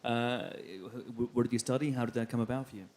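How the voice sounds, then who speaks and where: Medium-pitched man interviewing guests. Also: fast talker, a little mellow. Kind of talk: conversational interview questions.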